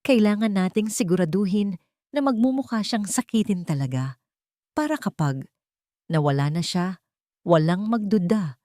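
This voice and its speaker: A woman's voice: mid-range voice, animated, moderate pace, pauses often, mellow, no room sound, clean studio sound, natural voice. A woman voicing a sweet-sounding, cruel, scheming woman in an audio drama, with a sugary, cold edge. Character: sweet-voiced scheming cruel young woman, impatient and disgusted. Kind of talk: Tagalog audio drama storytelling.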